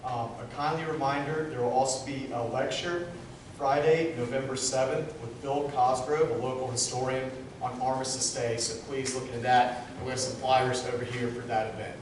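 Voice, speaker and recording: A man hosts an event, introducing the speaker in a relaxed, joking way. His voice carries from a distance through a very echoey room, over steady background noise. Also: medium pitch, normal speed. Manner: announcing, loose and joking. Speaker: event host. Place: large echoey room, in front of an audience.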